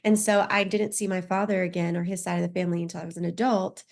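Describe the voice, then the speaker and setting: A woman's voice: lowish voice, little pitch movement, fast talker, creaky. A woman in her thirties or forties, a podcast guest telling her life story openly and reflectively, with frequent restarts. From United States. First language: Spanish. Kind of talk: personal story in an interview.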